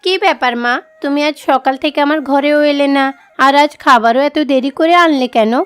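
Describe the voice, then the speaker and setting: A woman voicing a meek, devout young village daughter in an animated moral story, earnest and plaintive. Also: high voice, moderate pace, faint music behind. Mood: hopeful, timid.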